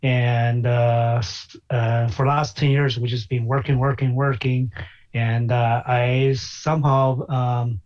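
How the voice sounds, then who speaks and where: A man's voice: mid-range voice, little pitch movement, darkish tone. Hesitant man, slightly dull call audio. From China. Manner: hesitant, many filler pauses. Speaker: guest, physician and investor. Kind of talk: interview conversation.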